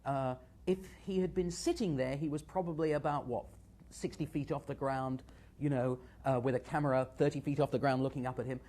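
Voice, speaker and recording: A man hosting a live show and analysing TV news, talking to viewers in a halting, conversational, digressive way. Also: mid-range voice, fairly even intonation, dark tone. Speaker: host and media analyst.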